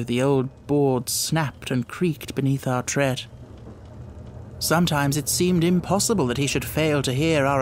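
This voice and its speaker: A man reading a classic mystery audiobook as dramatic narration, with measured, suspenseful storytelling. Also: medium pitch.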